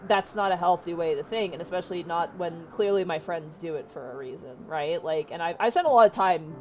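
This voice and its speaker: Low-voiced woman in casual stream chat. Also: brisk, darkish tone, a little hiss or hum. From Canada. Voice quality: naturally low voice. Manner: rambling, many fillers and restarts.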